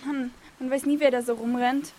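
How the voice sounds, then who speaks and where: A young woman playing a cool, irritable teenage girl with a high voice. She is curt and slangy, acted for a youth radio drama, over clearly noisy sound. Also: steady tone, normal speed, dark tone, close to an everyday voice. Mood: annoyed. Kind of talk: amateur youth radio play acting. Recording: clear background noise.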